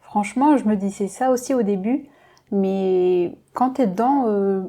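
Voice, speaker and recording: A young woman from Lebanon, a doctoral student guest, speaks in a mellow voice. She answers hesitantly with frequent fillers and a modest manner. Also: medium pitch, measured pace. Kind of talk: interview answers.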